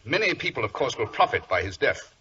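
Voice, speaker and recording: An actor playing a shrewd, commanding British detective with clipped, authoritative speech in a 1940s radio drama. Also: male voice, medium pitch, sing-song, fairly quick, sharp tone. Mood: probing. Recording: dull, noisy vintage radio recording.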